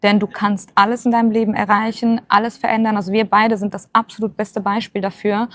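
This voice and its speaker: A woman's voice: mid-range voice, level tone, brisk, fairly bright tone, dry recording. A woman in her late twenties talking earnestly and encouragingly in a casual chat, with many filler sounds. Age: 20s. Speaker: co-host.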